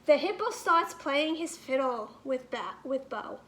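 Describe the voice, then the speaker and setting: High, bright woman reading a storybook. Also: expressive, unhurried. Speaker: teacher reading a picture book aloud. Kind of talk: expressive storybook read-aloud for children.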